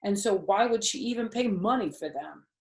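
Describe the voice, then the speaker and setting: A woman's voice: medium pitch, animated, moderate pace, lightly acted. A woman voicing a spirited, stubborn first-grade girl who argues earnestly and emphatically in a storybook reading. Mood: questioning. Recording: roomy, echoey home recording.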